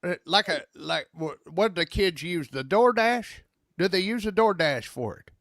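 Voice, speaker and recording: A man playing a grumpy old country man with a gruff, folksy drawl. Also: high-pitched, animated, moderate pace, a bit bright, no echo, clean studio sound.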